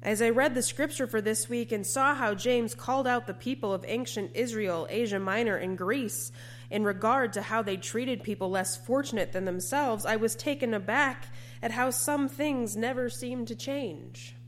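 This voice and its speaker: A woman preacher delivering a scripted sermon in an earnest, measured way, often posing rhetorical questions. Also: on the high side, slight background noise.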